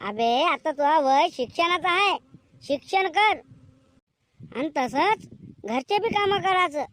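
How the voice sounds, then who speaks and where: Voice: very high voice, expressive, measured pace, fairly bright tone, full character voice. A performer voicing a scolding, sarcastic village father in a comedic cartoon, with a rural Maharashtrian Marathi accent. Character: grumbling, mocking, boastful village father. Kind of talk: comedic cartoon skit dialogue.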